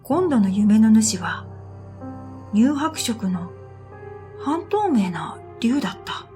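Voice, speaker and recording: A woman playing a pensive dream reader, narrating her own story in a quiet, measured radio drama style. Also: fairly high pitch, very animated, faint music behind. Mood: quiet, wondering. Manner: quiet, measured, pensive, trailing into breathy phrase ends. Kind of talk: scripted first-person radio drama narration.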